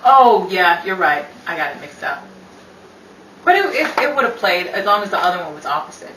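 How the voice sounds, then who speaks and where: A woman's voice: fairly low pitch, very animated, fairly quick, bright, forceful tone. A woman performing in and directing a radio play troupe. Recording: echoey room, distant mic, hissy noise.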